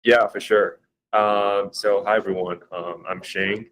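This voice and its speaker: A man's voice: fairly low pitch, little pitch movement, measured pace, fairly bright tone. A man from Malaysia introducing himself with a hesitant, modest manner and many fillers. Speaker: CTO. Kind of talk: casual chat.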